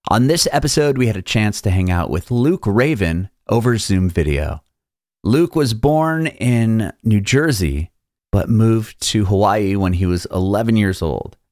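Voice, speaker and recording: A young male podcast host with a fairly low voice and an easygoing, slangy way of talking. The sound is dry and close, with almost no room reflection. He moves between a read-out intro and relaxed conversation. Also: dry recording. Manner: laid-back, uses casual slang. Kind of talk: read intro, then casual interview chat.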